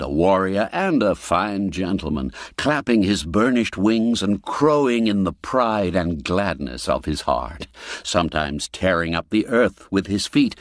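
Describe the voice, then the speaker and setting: Slow, lively-voiced male storyteller. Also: deep voice, no echo, studio quality. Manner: measured, expressive storytelling. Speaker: narrator. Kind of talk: scripted storybook narration.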